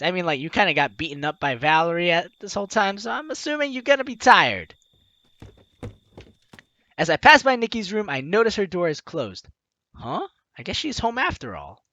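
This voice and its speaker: A man's voice: high-pitched, pushed and bright, dry recording. A man hosting a let's play, reading game text aloud and adding jokey, theatrical, exclamatory commentary. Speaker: gaming video host.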